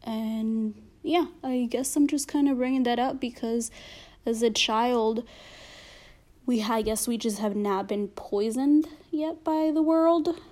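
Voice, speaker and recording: A woman's voice: fairly high pitch, slow, a little mellow. A woman with an accent, talking casually and reflectively to listeners, full of fillers and frequent swearing. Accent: non-native accent.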